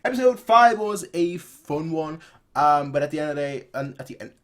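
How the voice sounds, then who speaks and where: A man's voice: a little high, expressive, normal speed, noisy background. A man in his twenties giving casual, chatty reaction commentary, often rambling and repeating his phrases. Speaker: reaction video host. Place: small bedroom, indoors.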